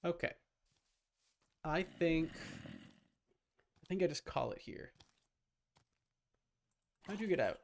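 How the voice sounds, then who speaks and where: A man's voice: fairly high pitch, lively intonation, slow, vocal fry, pauses often, slight background noise. A man livestreaming a game with casual, informal commentary, muttering to himself and his chat. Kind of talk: casual live gaming commentary.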